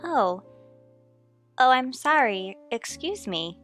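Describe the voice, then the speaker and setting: Excitable american wife detective, old radio show, high voice that swoops all over, stubborn and emphatic. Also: female voice, takes it slowly, faint music behind, heavily acted. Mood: flustered, stubborn. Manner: exclamatory, emphatic. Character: lively, impulsive, stubborn young married woman amateur sleuth. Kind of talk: mid-century radio drama acting.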